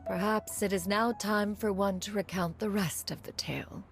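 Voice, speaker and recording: A woman's voice: mid-range voice, fairly even intonation, normal speed, faint music behind, close to an everyday voice. A woman playing a proud, formal, ancient crane immortal in a video game, with stately archaic speech and a fondly stern manner. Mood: measured, reflective. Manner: stately, archaic, refers to self as "one". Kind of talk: scripted video game dialogue.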